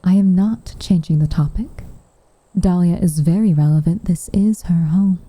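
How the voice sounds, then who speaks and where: A woman voicing a guarded, secretly tender tsundere witch in soft, often whispered ASMR roleplay, with coy, hesitant, wryly formal phrasing. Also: fairly low pitch, moderate pace, no room sound, lightly acted, poor audio. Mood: guarded, wistful.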